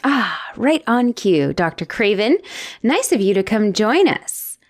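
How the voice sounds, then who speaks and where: A woman's voice: mid-range voice, very animated, unhurried. A woman playing an obsessive, lovestruck asylum patient in a dramatic roleplay audio, theatrical and doting with a menacing edge. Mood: mock polite. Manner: theatrical, doting, swinging between gushing and hushed menace.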